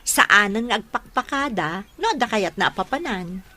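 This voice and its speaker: A woman's voice: medium pitch, expressive, normal speed, sharp tone. A woman with an Ilocano Filipino accent playing a sweet, trusting young girlfriend with a jealous streak in a radio drama, speaking earnestly and emotively.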